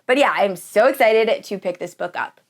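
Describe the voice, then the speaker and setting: A woman's voice: medium pitch, normal speed. A woman in her thirties chatting casually and enthusiastically to viewers as a book vlogger, with a gushing manner. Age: 30s. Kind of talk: casual chat to viewers about books.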